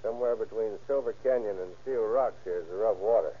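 An actor playing a plain-spoken, easygoing boat captain with a husky voice and hesitant manner in an old radio crime drama. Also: male voice, fairly low pitch, fast, natural voice. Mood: practical. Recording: tinny, band-limited old radio recording.

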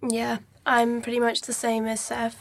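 A woman's voice: fairly high pitch, completely flat delivery, a little slow, creaky. A nineteen-year-old woman, chatting informally and tentatively in an interview. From New Zealand, born in Nepal. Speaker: podcast guest, university student. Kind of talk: casual interview chat.

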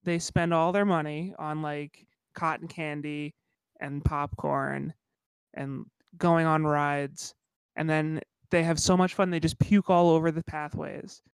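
A man's voice: on the high side, level tone, unhurried, gravelly, clear, resonant tone, no echo. A man co-hosting a podcast in casual chat, congested and stuffy-nosed, rambling with lots of "like". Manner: rambling, self-deprecating, frequent "like". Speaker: podcast co-host.

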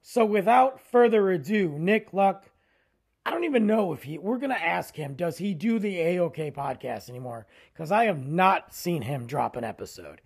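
Husky-voiced man hosting a podcast. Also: moderate pace. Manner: rambling, animated, voice drops low at phrase ends. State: losing his voice, tired. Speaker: podcast host. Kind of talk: casual solo podcast intro.

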